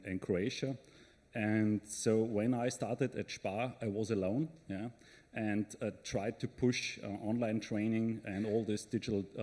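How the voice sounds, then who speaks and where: A man with an Austrian German accent speaks English in a low, mellow voice and often pauses to look for words. A long hall reverb trails behind him. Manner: hesitant, searching for words. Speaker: panel speaker. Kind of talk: conference talk.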